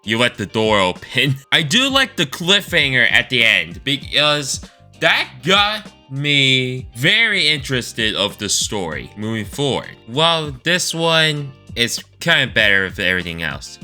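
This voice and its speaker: A man with a very bright, forward voice and lively, up-and-down intonation gives informal video commentary. His phrasing is halting and choppy, at an unhurried pace. Guitar music plays behind him. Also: mid-range voice. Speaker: video creator reviewing a game story.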